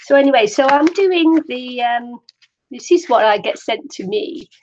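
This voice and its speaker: A woman's voice: high voice, animated, measured pace, highs cut off, a little hiss or hum. An older woman from the Welsh borders, chatty and self-deprecating, rambling through a casual craft tutorial. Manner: chatty, rambling, laughs often. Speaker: crafter streaming a zine tutorial.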